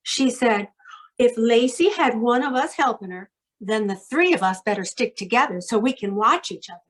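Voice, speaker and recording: A woman acts as a young carnival snake handler in an old radio drama. Her voice is high-pitched. She sounds anxious, plaintive and melodramatic. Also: lively intonation, normal speed, rough recording. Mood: uneasy.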